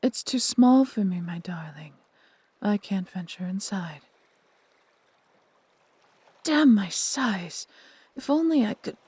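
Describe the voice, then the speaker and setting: A woman voicing a huge, proud, protective female dragon in a fantasy audio roleplay, with breathy, husky delivery and tender, formal, theatrical phrasing. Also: medium pitch, mellow, no room sound, full character voice. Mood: frustrated.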